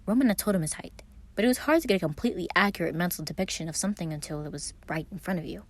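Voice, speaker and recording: A woman's voice: fairly low pitch, very fast, dry recording. A woman reading a scripted story aloud as its narrator. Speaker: fan fiction reader.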